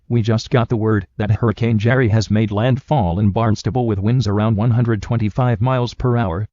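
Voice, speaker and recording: Male TV anchor played by a man for hurricane drama: lowish voice, composed urgency, dry lo-fi recording. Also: warm tone, no room sound, close to an everyday voice. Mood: composed, informative. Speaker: news anchor. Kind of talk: scripted breaking weather news. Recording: dull, lo-fi, dry sound.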